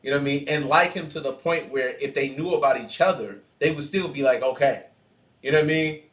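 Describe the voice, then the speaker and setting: A man's voice: medium pitch, fairly quick, a bit bright. A man co-hosting a casual podcast, talking through his ideas with many restarts and "you know"s. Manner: thoughtful, restarts often, leans on "you know". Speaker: podcast co-host. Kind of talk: casual reaction podcast chat. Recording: roomy, dull lo-fi sound.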